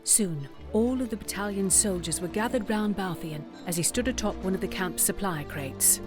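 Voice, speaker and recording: A woman playing the solemn storyteller of a fantasy audio drama, narrating in a measured, dramatic way. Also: medium pitch, over music, close to an everyday voice.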